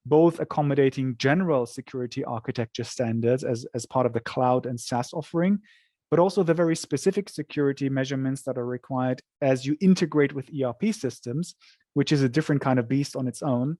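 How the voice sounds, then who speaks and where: A man's voice: mid-range voice, fairly quick, warm tone, no echo. Man explaining in dry, close sound. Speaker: software company representative answering questions. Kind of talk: webinar interview, explanatory product talk.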